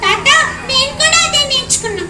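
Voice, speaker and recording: A woman's voice: very high voice, expressive, fairly quick. A woman voicing a cheeky, chatty little kid puppet in a live puppet show, teasing and sing-song. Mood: playful. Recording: echoey, distant, noisy hall.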